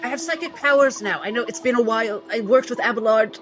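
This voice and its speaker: A woman's voice: fairly high pitch, fast talker, voice pushed up, close to an everyday voice. A woman voicing a flustered, stubborn doctor with psychic powers in a tabletop game, stammering and pleading. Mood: anxious. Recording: music bed under the voice.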